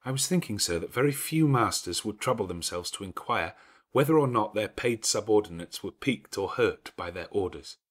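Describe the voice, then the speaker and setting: Composed young governess read by a husky man. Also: on the low side, expressive, normal speed. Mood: composed, frank. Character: composed, frank young governess, quietly defiant. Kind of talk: classic novel audiobook dialogue.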